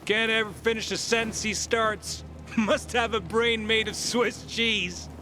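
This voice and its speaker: A man's voice: sits high, flat delivery, a little slow, pushed and bright, a little hiss or hum. An actor playing a mocking, jeering male sidekick in a video game. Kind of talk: video game character acting.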